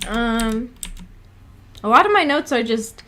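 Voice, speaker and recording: A woman's voice: on the high side, expressive, takes it slowly, bright, forceful tone. A woman hosting a livestream, chatting casually in a musing, hesitant, giggly way. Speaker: livestream host. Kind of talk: casual livestream chat about a visual novel.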